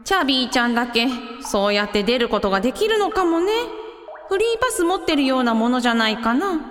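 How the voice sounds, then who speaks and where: A woman's voice: sits high, sing-song, fast, fairly bright tone. A woman playing a cheerful, practical mother in a radio drama, casual and encouraging in her talk. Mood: casual, motherly. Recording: long reverb, music behind.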